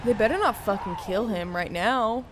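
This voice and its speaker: A young woman giving casual, halting, sweary reaction commentary to game cutscenes, close on a desk microphone. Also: medium pitch, background noise. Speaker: gaming streamer. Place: indoor room at a desk. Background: game music and sound.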